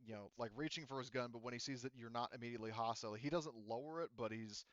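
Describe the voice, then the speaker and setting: A man with a clean, smooth voice acts as game master, improvising scene description. He talks conversationally and often stops to correct himself. The recording is dry and slightly dull. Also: medium pitch, a bit bright, no room sound. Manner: halting, conversational, self-correcting. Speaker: game master of a tabletop roleplaying game. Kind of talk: improvised tabletop game narration.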